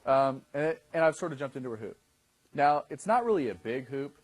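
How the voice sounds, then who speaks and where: A man's voice: medium pitch, animated, a little fast, no room sound. A man in his thirties teaching a class in a conversational way, often checking in with his listeners as he explains. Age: 30s. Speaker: dating coach instructor. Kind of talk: instructional talk to a class.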